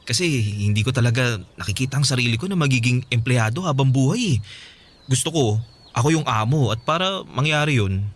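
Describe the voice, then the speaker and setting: An actor playing an earnest, sheepish boyfriend with big dreams in a radio drama. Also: male voice, mid-range voice, expressive, a little fast, lightly acted. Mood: determined, excited. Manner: hesitant openings, earnest explaining. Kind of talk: radio drama acting, conversational.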